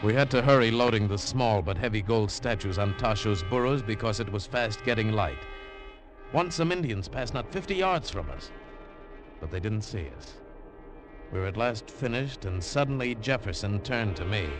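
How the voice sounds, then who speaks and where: A man's voice: lowish voice, lively intonation, normal speed, music in the background, lightly acted. An actor playing an earnest, hard-boiled adventurer who narrates his own tale in a 1940s radio drama. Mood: tense narration. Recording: vintage 1940s radio recording.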